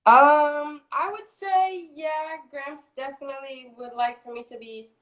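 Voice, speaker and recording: A woman in her twenties from Florida, a singer answering interview questions in a relaxed, chatty way with many fillers. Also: high-pitched, measured pace. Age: 20s. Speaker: guest, singer. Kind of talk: casual interview.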